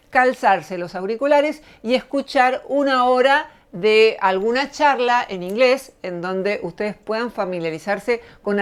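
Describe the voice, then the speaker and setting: Middle-aged argentine woman, smooth clear voice, talks it through slow and thoughtful like a lecture. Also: medium pitch. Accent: Argentine Spanish. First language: Spanish. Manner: measured, thoughtful explaining. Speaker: channel host, lecturer. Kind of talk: reflective spoken essay to camera.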